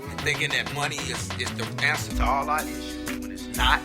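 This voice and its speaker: A man's voice: mid-range voice, steady tone, fairly quick. A man hosting a podcast, giving a blunt, impassioned opinion monologue and swearing freely. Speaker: podcast host. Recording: roomy with hip hop music behind.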